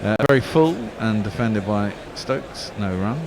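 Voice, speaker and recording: A man's voice: on the low side, expressive, unhurried. A man giving casual ball-by-ball radio commentary on cricket, rambling into anecdotes between deliveries. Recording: background noise.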